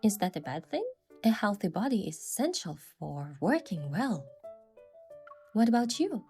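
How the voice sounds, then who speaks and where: A woman's voice: mid-range voice, very animated, normal speed, darkish tone. A woman giving scripted first-person story narration with acted dialogue. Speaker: narrator and lead character of a game event story. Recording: music behind.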